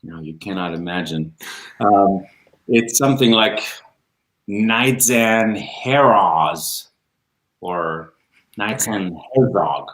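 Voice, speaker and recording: A man's voice: on the low side, lively intonation, takes it slowly, long pauses, fairly bright tone. A man from Israel with an Israeli accent, Hebrew his first language, speaking as a guest in a casual interview chat, hesitant with many ums and restarts. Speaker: music teacher and guest. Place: room, livestream. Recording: roomy echo.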